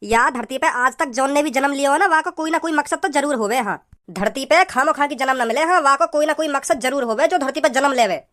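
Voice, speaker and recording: A man playing a scolding, preachy village father in a comedy cartoon, with a pitched-up voice. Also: fast talker, pushed and bright. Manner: preachy, repetitive, hectoring.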